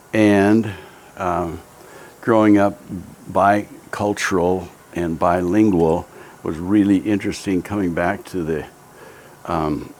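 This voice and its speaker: Older guy raised in japan, low voice, slow and halting, faint music under it. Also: a little mellow. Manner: hesitant, many ums and restarts. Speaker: interviewee telling his life story. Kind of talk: reflective personal storytelling.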